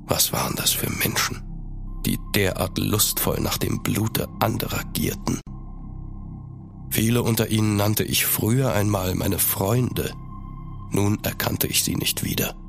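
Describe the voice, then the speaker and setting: A melancholic aristocrat recounting his life in a radio play. His voice is low and creaky, his delivery grave and formal, with clear music underneath. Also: unhurried. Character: grave, weary aristocratic French diplomat recounting his past. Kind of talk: scripted first-person narration in audio drama.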